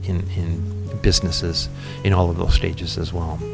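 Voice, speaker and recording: A man guesting on a podcast, a company founder who explains his ideas in a thoughtful, relaxed way, with frequent ums and restarts. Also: sits low, a little slow, gravelly, over music. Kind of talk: relaxed interview conversation.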